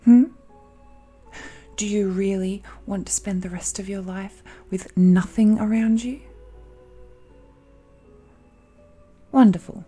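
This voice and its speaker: Girl acting a ancient evil yandere lady, mellow n slow, smug doting, breathy whispery bits, intimate roleplay audio, soft music under it. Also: mid-range voice, fairly even intonation. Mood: haughty, teasing. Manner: slow, coaxing, condescending, often sinking into breathy whispers. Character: ancient, all-powerful female villain, possessive, smugly doting yandere. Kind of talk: romantic audio roleplay, intimate monologue to listener.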